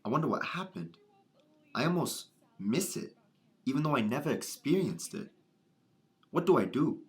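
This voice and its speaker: A man's voice: mid-range voice, very animated, moderate pace, a little mellow, lightly acted. A man playing an earnest, wistful young man in a radio drama. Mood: wistful, pondering. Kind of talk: scripted radio drama acting.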